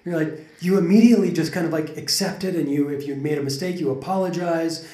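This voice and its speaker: A man's voice: a little high, quick pace, warm tone. A man co-hosting a podcast, talking things through thoughtfully with many restarts and fillers. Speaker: podcast co-host. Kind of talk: casual conversation. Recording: roomy echo.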